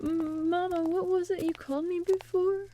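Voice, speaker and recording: A woman playing a scared, earnest little android girl in a radio drama, with a childlike, pleading, halting way of talking. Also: very high-pitched, level tone, dark tone, soft background music. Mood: shy.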